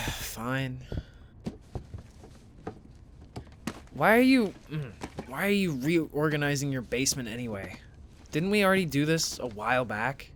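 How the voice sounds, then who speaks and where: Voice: mid-range voice, sing-song, normal speed, fairly bright tone, slight background noise. An actor playing an awkward, sarcastic, suspicious teenage best friend in an audio drama, hesitant and grumbling. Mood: puzzled. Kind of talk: naturalistic audio drama acting.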